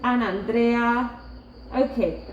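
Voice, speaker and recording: A woman's voice: a little high, takes it slowly, slight background noise. A woman teacher taking roll in a classroom, methodically going through the names one by one. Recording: roomy, slightly muffled classroom audio.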